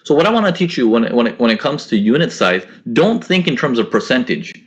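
A man's voice: mid-range voice, sing-song, fast talker. A young man hosting a live trading webinar from home, answering chat questions in a casual coaching manner and often repeating his words. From grew up in Korea and the US. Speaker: forex trading webinar host. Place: small indoor room at home. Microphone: laptop webcam mic on a video call.

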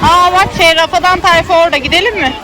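A woman's voice: very high-pitched, fast. A woman narrating a casual family vlog for children, speaking directly with frequent greetings and prompts. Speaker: family vlogger and mother filming her child. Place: crowded outdoor children's theme park. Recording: noisy crowded outdoor recording, loud background. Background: loud crowd and outdoor ambience.

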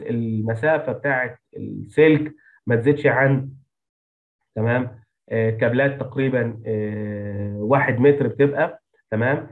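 A man's voice: mid-range voice, narrow, tinny audio. A man with an Egyptian Arabic accent lecturing through technical slides as an instructor, dropping English technical terms into his speech. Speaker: engineering instructor.

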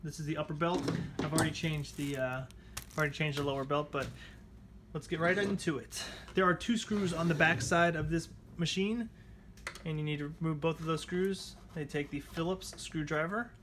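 A man's voice: a little high, fairly even intonation, moderate pace, fairly bright tone, slight background noise. A man hosting a casual repair tutorial, chatting informally as he talks viewers through the steps. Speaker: how-to channel host. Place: indoors at a table at home.

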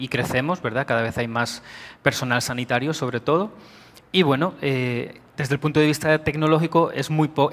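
A middle-aged man from Spain with a Spanish accent, giving a measured conference talk with frequent pauses in a large auditorium. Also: mid-range voice, a bit bright. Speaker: conference speaker. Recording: long hall reverb.

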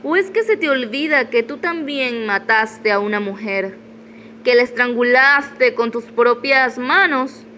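A woman's voice: a little high, animated, unhurried, sharp tone, slight background noise. A woman playing a defiant, scheming widow in a radio soap opera, taunting and dramatic. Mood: bitter, accusing.

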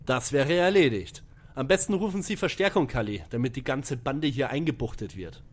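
Man as an eager young detective, animated pitch. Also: moderate pace, natural voice. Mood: composed. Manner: earnest, articulate, formal. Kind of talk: parody detective audio drama acting.

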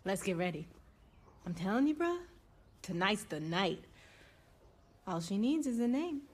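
An actress with an American accent playing a cocky, excitable young street racer in a video game, brash and slangy. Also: female voice, mid-range voice, unhurried. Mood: confident, excited. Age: young adult.

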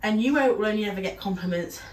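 A woman's voice: mid-range voice, quick pace, a little hiss or hum. A young woman with a stuffy nose from a cold, chatting casually with lots of ums and little laughs while trying on clothes at home. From United Kingdom. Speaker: fashion vlogger. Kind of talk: casual try-on haul chat. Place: small room at home, indoors. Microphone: camera across the room. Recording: roomy echo, voice a little far from the mic.

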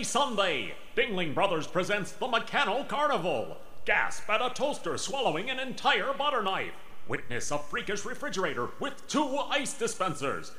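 Actor as male carnival announcer, hyped-up, very animated pitch, echoing, parody commercial. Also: exaggerated voice. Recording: echoey announcer sound.

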